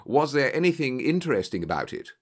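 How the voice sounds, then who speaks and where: A man's voice: medium pitch, sing-song, a little fast. A man voicing a keen, self-assured detective, thoughtful and precise, in an audiobook story. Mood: thoughtful, curious.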